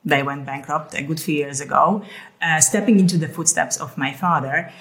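A middle-aged woman from Hungary talks in a loose, chatty way, circling back over her words as she gathers her thoughts. Her voice sits low for a woman. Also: normal speed, a bit bright. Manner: chatty, repeats words while thinking aloud. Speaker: co-host. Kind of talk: casual conversational talk.

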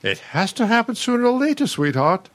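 A man's voice: sits high, very animated, brisk, a bit bright. Casting: man to play needling older American husband in radio drama, sardonic, grumpy, widely varied intonation. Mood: sardonic, gloomy.

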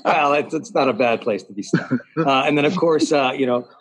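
Male panel host, mid-pitched, easygoing, full of "uh" and "you know". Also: fairly quick. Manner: relaxed, many "uh" and "you know" fillers. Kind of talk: hosting a panel discussion.

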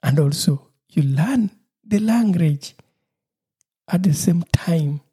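Cheerful Ugandan man with a husky voice. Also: on the high side, animated, slow pace, mellow. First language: Lusoga. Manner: cheerful, laughs often, repeats himself. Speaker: podcast host. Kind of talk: solo podcast monologue.

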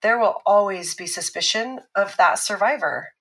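An actress playing a calm, analytical woman expert who explains patiently and teases a little. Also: medium pitch, natural voice, low-quality recording. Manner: measured, explanatory, lightly teasing. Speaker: expert on abuse recovery. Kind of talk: scripted podcast drama dialogue.

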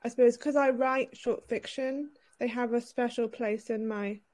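Guest: British woman writer, fairly high voice, soft and hesitant, lots of ums, video-call audio. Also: fairly even intonation, normal speed. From United Kingdom. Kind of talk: casual interview chat.

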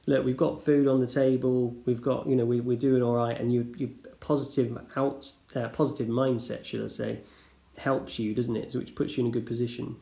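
A man hosting a relaxed podcast conversation over a call, his voice mellow and a little dark. He talks loosely, full of ums and restarts. The sound is roomy and echoey, as if recorded in a living room. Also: mid-range voice, fairly quick, creaky. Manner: chatty, many fillers and restarts. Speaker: podcast host. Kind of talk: casual interview chat. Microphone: remote call recording.

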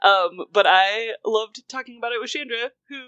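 A woman's voice: a little high, moderate pace, clear, resonant tone, no echo, muffled recording. A woman in her thirties co-hosting a casual chat, rambling loosely and peppering her sentences with "like". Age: 30s. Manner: rambling, animated asides with frequent "like". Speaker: podcast co-host. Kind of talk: casual film and music chat.

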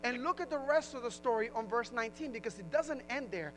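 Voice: medium pitch, expressive, fast talker, a bit bright, slight background noise, low-quality recording. A pastor from Puerto Rico with a New Jersey accent, preaching a sermon in a conversational way, often restarting phrases and rising into emphasis.

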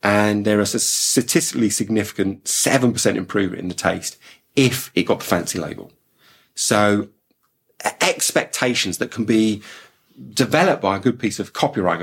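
Male co-host, low voice, measured expert explainer, husky. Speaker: podcast co-host, behavioral science expert. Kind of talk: explanatory podcast chat.

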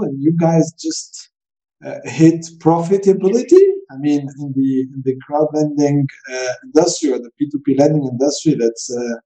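A man hosting a podcast interview, with a lively, upbeat delivery that lifts sharply in pitch. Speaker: podcast host. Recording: roomy echo with faint music.

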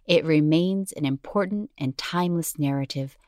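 A woman narrates a scripted documentary podcast in a low register. The recording is dry and close, and her delivery is measured and formal, read cleanly from a script. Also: darkish tone, dry recording.

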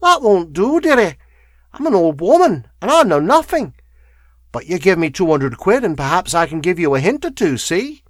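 A man voicing a wheezy, high-pitched cunning slum crone. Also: sing-song, normal speed, a bit bright, no echo, studio quality. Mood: cunning, greedy. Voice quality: wheezy, chuckling. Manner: wheedling, coarse, addresses listener familiarly. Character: bent, wheezy, cunning middle-aged slum woman.

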